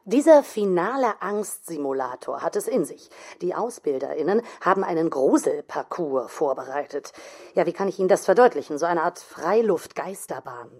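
A radio presenter in a children's audio drama. She addresses listeners in a fairly low voice with very lively intonation. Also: moderate pace, husky. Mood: explaining. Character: female radio presenter announcing a course finale. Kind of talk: broadcast presenting in a radio play.

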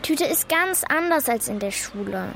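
A woman's voice: high voice, expressive, moderate pace, a bit bright, full character voice. A woman playing a thoughtful, loyal and slightly defensive schoolgirl in a German children's radio play. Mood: earnest. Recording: clear outdoor street noise behind.

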